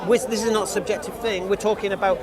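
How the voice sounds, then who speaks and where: Fast-talking man arguing over a loud crowd. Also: high voice, steady tone. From England. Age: 40s. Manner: insistent, repeats himself, leading questions. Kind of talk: street debate.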